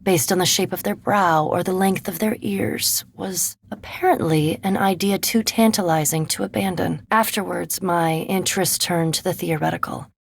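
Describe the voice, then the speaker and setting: A woman voicing a centuries-old vampire scholar in a video game, speaking in an erudite, measured, formal way with composed curiosity and dry superiority. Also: lowish voice, creaky, husky. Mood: scholarly, measured. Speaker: animancy researcher and ship companion in a fantasy video game. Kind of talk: video game character dialogue.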